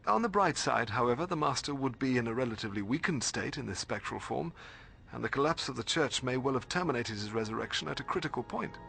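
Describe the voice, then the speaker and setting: An actor playing a bookish, middle-aged British librarian mentor in a video game, formal and precise, hesitating when puzzled. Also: male voice, mid-range voice, expressive, quick pace, creaky, bright, forceful tone, a little hiss or hum. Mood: grave, puzzled.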